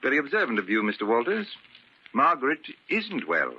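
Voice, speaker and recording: A man's voice: mid-range voice, wildly swooping pitch, fairly quick, husky, dull audio. An actor playing a cruel, domineering older father-in-law with formal, condescending politeness in a vintage radio drama. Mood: icily polite. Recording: crackly band-limited old radio recording.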